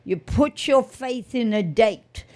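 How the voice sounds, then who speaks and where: A woman's voice: fairly high pitch, expressive, slow pace, mellow. An Australian woman co-hosting a faith talk show, speaking earnestly and repeating her points with emphasis. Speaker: co-host.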